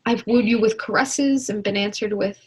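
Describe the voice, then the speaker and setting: A woman's voice: medium pitch, steady tone, moderate pace, darkish tone, slightly rough, natural voice. A young woman acting an ardent, impatient lover in a stage melodrama, declaiming with passion. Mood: pleading, impassioned. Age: young adult. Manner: declamatory, impassioned. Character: ardent, impatient lover, eloquently scolding and pleading. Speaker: student actor. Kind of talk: stage acting in a one-act period melodrama.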